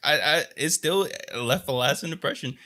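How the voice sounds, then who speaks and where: A man's voice: on the high side, normal speed, sharp tone. A man co-hosting a podcast in casual, slangy chat, giving his opinions freely. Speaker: podcast co-host.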